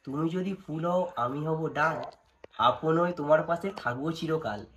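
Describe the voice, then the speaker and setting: A young man with a slightly high voice and a West Bengali accent. He talks like a casual vlogger on a prank call, playful and mock-dramatic, often pleading with whoever he is addressing. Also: unhurried, a bit bright, slight background noise. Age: young adult. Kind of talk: casual vlog chat and prank phone calls.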